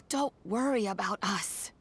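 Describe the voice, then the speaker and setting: A woman's voice: medium pitch, slow, fairly bright tone, a little hiss or hum. A woman streamer casually and playfully reacting and interjecting while she plays a game. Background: game music.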